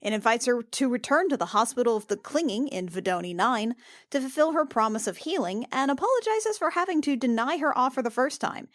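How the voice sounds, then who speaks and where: A woman's voice: on the high side, animated, a little fast, dry recording, clean studio sound. A woman playing a tabletop game, talking casually and reading material aloud.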